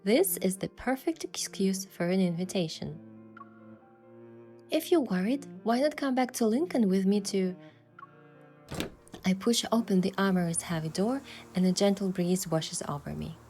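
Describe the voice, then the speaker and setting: A woman playing an earnest, playfully cheeky young hunter heroine, mixing reflective first-person story narration with expressive video game dialogue. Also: mid-range voice, normal speed, pauses often, faint music behind, close to an everyday voice.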